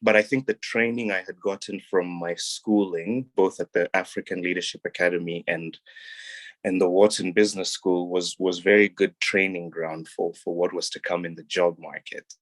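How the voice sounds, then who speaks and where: Deep-voiced young man from Kenya. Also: moderate pace, no echo. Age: young adult. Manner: relaxed and reflective, with frequent ums and restarts. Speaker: guest. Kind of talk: casual interview talk.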